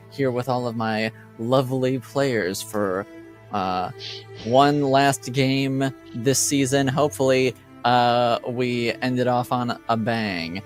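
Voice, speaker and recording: A man speaking casually and a little haltingly as game master, introducing a show. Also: mid-range voice.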